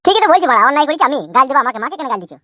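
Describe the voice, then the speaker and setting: An actor voicing a crude, sulky young cartoon husband with a rural West Bengal Bengali accent, defiant and swearing. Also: male voice, very high-pitched, lively intonation, racing, sharp tone, no room sound, exaggerated voice. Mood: stubborn, irritated. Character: stubborn, crude young village husband and son. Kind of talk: comic cartoon dubbing.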